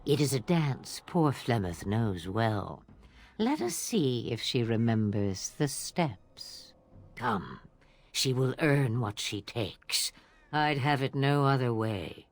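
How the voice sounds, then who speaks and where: A woman's voice: extremely low pitch, big pitch swings, slow, full character voice. An actress playing a sly, cryptic old witch in a video game, speaking theatrically in riddles. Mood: resigned, defiant. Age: older. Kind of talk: video game drama acting.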